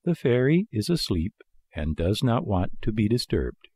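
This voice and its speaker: A man voicing a calm female snail in a storybook, drawing out words in a peaceful, unhelpful way. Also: on the low side, measured pace, breathy edge, dry recording, studio quality, exaggerated voice. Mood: blandly amused. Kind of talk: storybook character voice.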